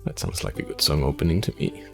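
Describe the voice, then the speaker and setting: A man voicing a calm, teasing tavern keeper in a fantasy game, listening patiently and prompting in a measured, wry way. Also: very deep voice, creaky, soft background music, pitched below the performer's own voice, full character voice.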